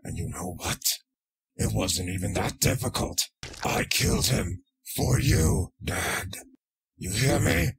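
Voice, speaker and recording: A man's voice: medium pitch, big pitch swings, takes it slowly, vocal fry, husky, exaggerated voice. A man voicing a menacing alien symbiote monster in a comic dub, cruel, arrogant and sneering. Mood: gloating. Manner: sneering, bullying. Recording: loud music behind. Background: loud music.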